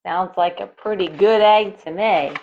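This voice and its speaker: A woman reading a picture book aloud to young children in an expressive way, adding explanations and questions as she goes. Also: mid-range voice, measured pace, slight background noise. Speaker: elementary school teacher reading aloud. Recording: phone-like, narrow-band sound.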